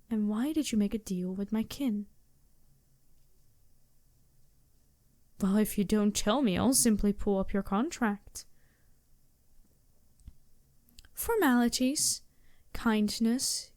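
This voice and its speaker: A woman voicing a poised, imperious yet compassionate demon princess in an intimate ASMR roleplay, with a deliberate, softly commanding manner. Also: medium pitch, dry recording, clean studio sound, close to an everyday voice. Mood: impatient, firm.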